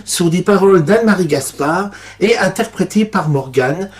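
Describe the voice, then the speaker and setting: A man's voice: high voice, expressive, moderate pace. A man co-hosting a radio music show, reading out an artist's career and chatting about it. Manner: reads facts aloud, then comments in an easy chatty way. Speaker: radio co-host.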